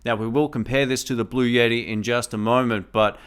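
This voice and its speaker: A man's voice: medium pitch, steady tone, a little fast, clean tone. A man casually and enthusiastically reviewing a microphone, explaining each step as he speaks into a condenser mic on his table at home. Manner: speaks at varying loudness for gain tests. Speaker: tech reviewer. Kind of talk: casual product review to camera. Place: home room with a fridge nearby.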